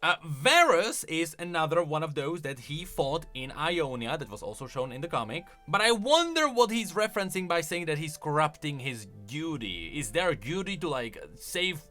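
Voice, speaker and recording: A man's voice: on the high side, big pitch swings, normal speed, hardly any pauses, pushed and bright, soft background music. A man hosting a gaming lore video, giving casual, conversational commentary with plenty of emphasis.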